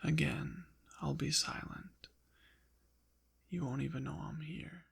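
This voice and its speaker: A man voicing a haughty, possessive ancient demon in an intimate ASMR roleplay, with formal, deliberate diction and a breathy, gravelly whisper. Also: fairly high pitch, steady tone, fairly bright tone, full character voice. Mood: teasing, possessive. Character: possessive ancient male demon, haughty, teasing, quietly softening. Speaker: audio roleplay performer.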